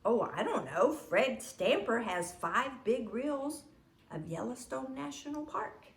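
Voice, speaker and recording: A wry, teasing storybook father, played by a woman reader. The lines come slowly with swinging pitch, in a roomy, echoing space. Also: expressive, gravelly, heavily acted.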